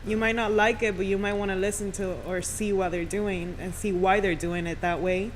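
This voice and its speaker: A woman with a medium-pitched voice talks in a casual, conversational podcast style. Her sentences are loose and full of "like" and "you know". Some background ambience sits under her voice. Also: fairly even intonation, normal speed, a little hiss or hum. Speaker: radio personality, podcast guest.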